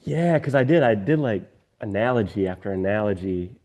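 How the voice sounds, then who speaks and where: A man chatting casually as he reviews his own work, halting and self-critical in manner. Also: on the low side, animated, a little mellow. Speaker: radio presenter being coached. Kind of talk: casual conversation.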